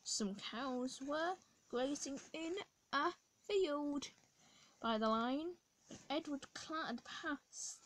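Hoarse woman reading a picture book. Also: sits high, a bit bright, a little hiss or hum. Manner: halting, with many restarts. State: hoarse from a sore throat. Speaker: children's channel host. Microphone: phone camera over the book.

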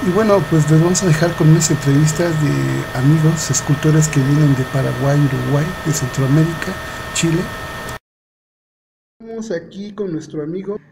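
A man's voice: fairly high pitch, creaky. Man at a noisy outdoor workshop. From Mexico. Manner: friendly, halting, many fillers. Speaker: sculptor and interviewer. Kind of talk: casual on-site interview. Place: large open-air sculpture workshop.

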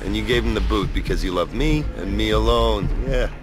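A man's voice: on the low side, fairly quick, over music, natural voice. An actor playing a cynical, hard-drinking space prospector in a video game, with a sardonic, world-weary tough-guy manner. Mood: scornful, mocking. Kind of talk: video game cutscene acting.

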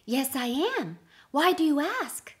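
Woman as a very squeaky, clever rabbit trickster. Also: big pitch swings, unhurried. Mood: curious, polite. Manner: polite, wily, theatrical.

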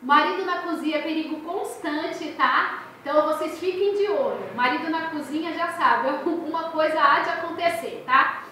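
A high, bright-voiced woman in her thirties with a Brazilian Portuguese accent, chatting to her viewers as she tells a story with lively expression. She sits at a desk in a small bedroom. The camera's mic picks her up from a little distance, and the room rings with strong echo. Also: moderate pace, hardly any pauses, a little hiss or hum. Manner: animated, expressive storyteller, gestures a lot. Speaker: crochet and crafts channel host. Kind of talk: casual storytelling vlog to viewers.

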